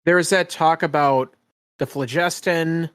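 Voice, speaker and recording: A man acting as game master, improvising descriptions for a tabletop fantasy game in a halting, piecemeal way. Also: a little high, steady tone, fairly bright tone, smooth, no echo, clean studio sound.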